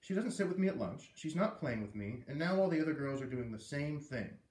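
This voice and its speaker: A man's voice: a little high, expressive, fairly quick, darkish tone, close to an everyday voice. A man voicing a hurt, defensive young schoolgirl in a storybook read-aloud, plaintive and blurting as she confides. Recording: roomy echo, distant microphone.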